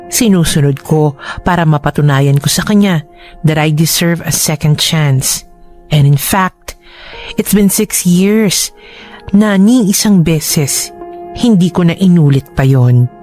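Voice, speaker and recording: A woman's voice: low voice, darkish tone. Actress as a hurting young woman telling her regrets, earnest, radio drama w music under.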